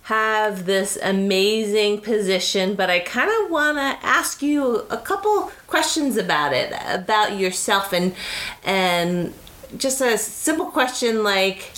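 A woman hosts a casual interview with a mid-pitched voice. She asks her questions in a chatty, enthusiastic way. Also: expressive, takes it slowly, a bit bright. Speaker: interview host.

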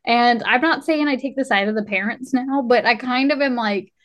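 A woman's voice: on the high side, normal speed. A woman co-hosting a podcast in casual chat, retelling things animatedly by acting out what people said. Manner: animated retelling with quoted dialogue. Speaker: podcast co-host.

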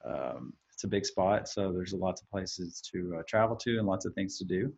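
A man's voice: low-pitched, lively intonation, moderate pace, vocal fry, darkish tone. An American man from Texas speaking casually as an interview guest, on a slightly dull recording. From Texas, United States. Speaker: podcast guest, marketing professional.

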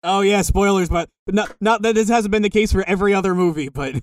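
A man's voice: sits high, fast. A man co-hosting a podcast, casually discussing films over a voice call. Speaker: podcast co-host. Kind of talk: casual film podcast chat. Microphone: voice call recording.

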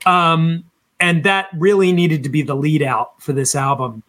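A man in his fifties speaks in a fairly high voice. He talks casually as a musician being interviewed, sounding enthusiastic and putting emphasis on his points. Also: fairly even intonation, measured pace, a bit bright. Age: 50s. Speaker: band singer being interviewed.

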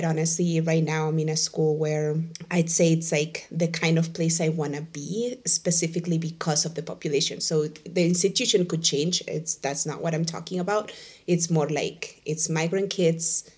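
Low-voiced woman from Venezuela. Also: darkish tone. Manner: reflective, many "like" fillers, quick bursts. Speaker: Spanish teacher, guest. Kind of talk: candid conversational interview.

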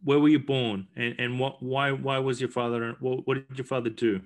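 Male podcast host, mid-pitched, eager, stumbling restarts. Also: flat delivery, normal speed. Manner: eager, repeats words when starting questions. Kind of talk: casual interview questions.

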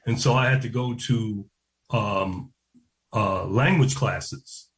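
A man, a guest recalling his past in an interview, slow and reflective and often repeating words as he restarts. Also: lowish voice, slight background noise. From New York. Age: 70s. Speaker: guest, education activist. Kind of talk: interview, personal recollection.

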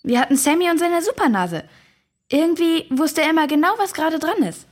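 Earnest girl, very high voice, curious, questioning, children's radio play. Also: animated, brisk. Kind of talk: children's audio drama acting.

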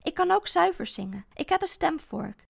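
A woman voicing a frantic, unstable mother in a read-aloud story, pleading and shrill. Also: sing-song, moderate pace, vocal fry, no room sound, studio quality, full character voice. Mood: anxiously coaxing. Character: frantic, unstable young mother clinging to her child. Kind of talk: audiobook character voice.

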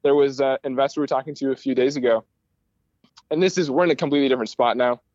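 A man's voice: medium pitch, fairly even intonation, rapid-fire, darkish tone, dry recording. American man explaining, dry dull recording. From United States, Michigan. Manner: rambling, enthusiastic explainer. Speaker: guest, aerospace start-up founder. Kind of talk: conversational interview.

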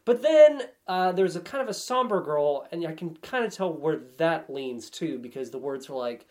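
A man's voice: on the high side, expressive, moderate pace, a little mellow. Wry man chatting casually about games. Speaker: podcast guest.

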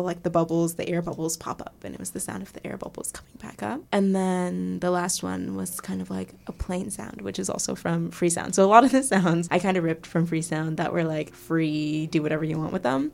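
A woman chats casually on a podcast, explaining at length. Her voice is on the low side and gravelly. She fills her sentences with "like" and "kind of.". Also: a little mellow. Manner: chatty, explains with many fillers. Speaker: podcast team member. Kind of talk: casual chat.

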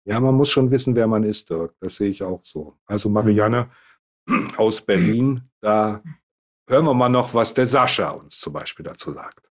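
Ein Mann, der als Gastgeber eine Online-Panel-Fragerunde moderiert und die Gäste förmlich und gemessen vorstellt. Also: male voice, lowish voice, animated. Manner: introduces guests in a measured, formal tone. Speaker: host.

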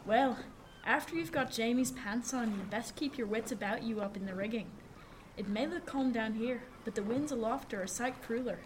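A woman's voice: fairly high pitch, normal speed, lightly acted, low-quality recording. A woman playing a plainspoken, encouraging seasoned sailor in a period radio drama, folksy and reassuring. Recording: background noise, ship ambience.

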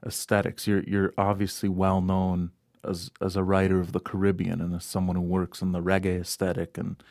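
A very deep-voiced man asks his guest careful questions in a level, even tone. He speaks with deliberate steadiness, and the sound is dry and close. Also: no room sound. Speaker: interviewer. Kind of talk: interview questions.

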